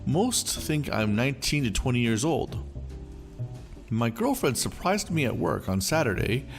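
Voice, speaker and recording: Lively male story narrator. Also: medium pitch, unhurried. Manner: lively, expressive reading. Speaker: storyteller channel host. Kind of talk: scripted narration of online stories. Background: faint background music.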